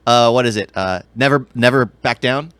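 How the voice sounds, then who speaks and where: A man's voice: medium pitch, moderate pace, a bit bright, clear, resonant tone, no echo. A man co-hosting a podcast, chatting casually about movies in a blunt, opinionated way. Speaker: podcast co-host.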